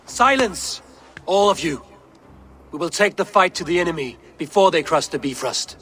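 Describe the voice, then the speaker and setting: Man as a proud, defiant Norse high god. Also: brisk, a bit bright, lightly acted. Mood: commanding. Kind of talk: dramatic video game acting.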